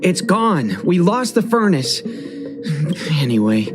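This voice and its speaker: A man's voice: high voice, very animated, slow pace, over loud music, full character voice. A man playing an anxious, skeptical young craftsman's apprentice in a video game, speaking in a flustered, earnest way. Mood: distressed. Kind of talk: video game dialogue acting.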